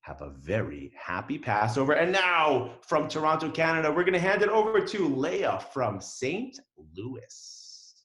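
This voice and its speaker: A man telling a playful story to children on a video call, jokey and animated. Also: unhurried, hardly any pauses, roomy sound. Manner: animated, jokey, swooping pitch. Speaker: storyteller for an online Hebrew school. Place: home in Toronto.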